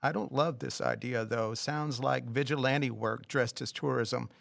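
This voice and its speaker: An actor playing a reluctant, fussy, rule-minded tour company boss in an audio drama, with a skeptical, formal manner. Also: male voice, medium pitch, fairly even intonation, a little slow, creaky, fairly bright tone, no echo, studio quality.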